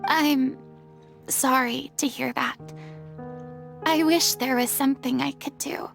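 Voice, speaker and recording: A woman's voice: high-pitched, fairly even intonation, slow pace, vocal fry, fairly bright tone, full character voice. A woman voicing a melancholy, earnest young former archon in a video game, speaking with guarded, hesitant formality. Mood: sorrowful, sincere. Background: game music.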